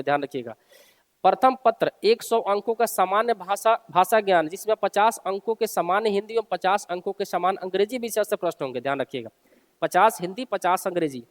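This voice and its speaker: A male coaching teacher speaks in a notably high voice with a long, hall-like reverb around it. He alternates between reading formal text aloud and explaining it informally. His manner is instructive, and he often restates the important points. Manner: instructive, repeats and rephrases key points for listeners.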